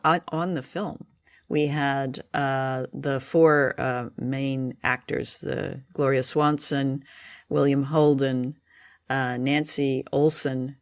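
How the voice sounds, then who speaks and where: A man's voice: mid-range voice, creaky, dry recording, clean studio sound. A man hosting a conversational discussion show, talking appreciatively and with enthusiasm. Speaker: podcast host leading discussion. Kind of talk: conversational film and radio discussion.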